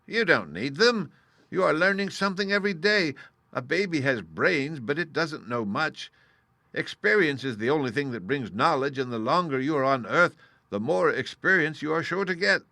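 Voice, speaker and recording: A man voicing an old humbug wizard who gently confesses he is no real magician, speaking with a confiding, sheepish manner. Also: on the high side, animated, normal speed, creaky, no echo, voice pushed up, exaggerated voice. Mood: confessing. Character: humble old male humbug wizard, apologetic.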